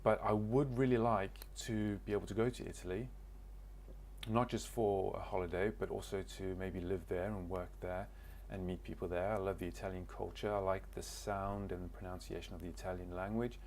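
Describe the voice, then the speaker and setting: A man speaks in a fairly low voice, introducing himself to camera. He talks casually and hesitantly, restarting sentences as he searches for words. A faint background hum sits under the recording. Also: little pitch movement, brisk, darkish tone. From Cornwall, England. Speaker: language learner.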